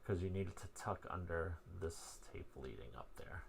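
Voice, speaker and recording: A man giving a casual, hands-on gear demonstration in an informal, rambling, self-deprecating way, with a gravelly, husky voice. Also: sits low, lively intonation, normal speed, a little hiss or hum. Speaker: vlog host.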